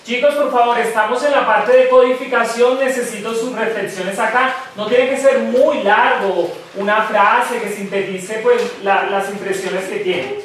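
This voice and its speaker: A man's voice: extremely high pitch, fairly even intonation, moderate pace, few pauses, a bit bright, a little hiss or hum. A man with a Colombian paisa accent teaching a French class, patiently asking students for their thoughts and encouraging them. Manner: patient, encouraging, asks questions. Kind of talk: classroom discussion. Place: school classroom. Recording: echoey classroom, distant mic.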